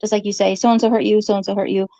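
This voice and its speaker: Woman with vocal fry, argumentative and repetitive, informal monologue, dull dry sound. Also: mid-range voice, little pitch movement, dark tone, no room sound. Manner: accusatory, halting, repeats phrases.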